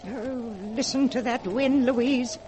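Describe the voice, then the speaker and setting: An actress playing an indignant, strong-willed elderly aunt in 1940s radio style. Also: female voice, fairly high pitch, fairly even intonation, takes it slowly, over music. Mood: complaining. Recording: noisy vintage 1940s radio recording.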